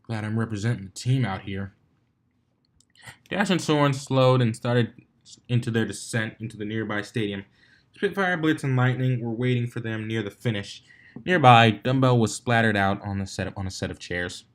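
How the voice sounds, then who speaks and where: A man's voice: medium pitch, normal speed. A man narrating a written story as a fan fiction reader, now and then stumbling and rereading a phrase. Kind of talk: scripted story narration with character dialogue.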